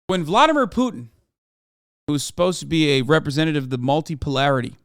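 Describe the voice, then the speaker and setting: A man's voice: on the high side, big pitch swings, quick pace, clear, resonant tone, dry recording, clean studio sound. A man from Turkey, in his thirties, giving heated, profane reaction commentary as a streamer, lecturing and emphatic.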